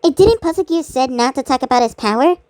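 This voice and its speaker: A woman doing amateur voice acting of conversational dialogue for a fan-made animated cartoon. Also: high-pitched, fast talker, no room sound, clean studio sound.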